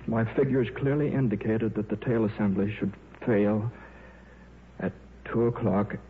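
An actor playing a shy, absent-minded middle-aged scientist in a 1950s radio drama, with a hesitant, stammering delivery. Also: male voice, lowish voice, unhurried, natural voice. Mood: weary, resigned. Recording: muffled, noisy old radio broadcast.

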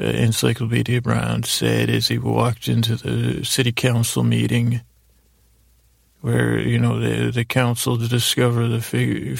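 A man with a gravelly, creaky voice improvising a bedtime story in a mild, friendly, meandering way, halting and circling back as he goes. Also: medium pitch, no room sound. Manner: meandering, halting, repeats and trails off; mild, friendly, absurdly digressive. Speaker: podcast host telling bedtime stories.

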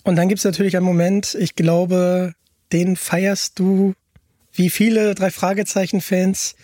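Man, quite high voice, casual podcast hosting, conversational, very dry sound. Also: little pitch movement, unhurried, mellow, no room sound. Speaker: podcast host.

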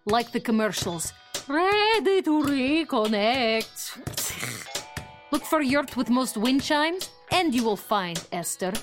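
A burly Eastern European saleswoman in a sci-fi comedy audio drama. Her voice is high and very animated, pushing shady deals in broken English, with music behind her. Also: slow, full character voice. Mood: flustered, scheming. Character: warm burly Eastern European woman, wily shady parts dealer.

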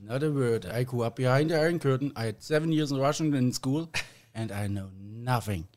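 German-accented man, halting and self-deprecating. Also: mid-range voice, darkish tone. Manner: halting, self-deprecating, with small grammar slips. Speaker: guest. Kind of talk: casual podcast chat.

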